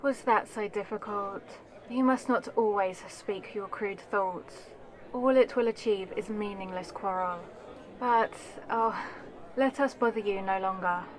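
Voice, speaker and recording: A woman's voice: mid-range voice, unhurried, close to an everyday voice, poor audio. A woman playing an aristocratic elder vampire in an ASMR audio roleplay, composed and commanding, with formal, condescending poise. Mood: firm, chiding.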